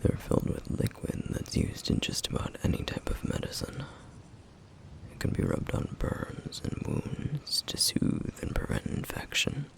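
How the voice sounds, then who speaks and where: A man voicing a stern yet caring flame demon in an ASMR roleplay, speaking in a hushed, gravelly whisper with measured, formal phrasing. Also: lightly acted. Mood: calm, instructive. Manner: measured, formal, slightly old-fashioned phrasing.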